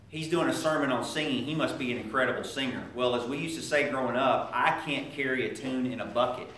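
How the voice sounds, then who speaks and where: A middle-aged man giving a sermon in a conversational, halting way, with frequent restarts and fillers. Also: medium pitch, fairly bright tone, a little hiss or hum. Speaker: preacher. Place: church sanctuary. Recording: echoey room from a distant mic.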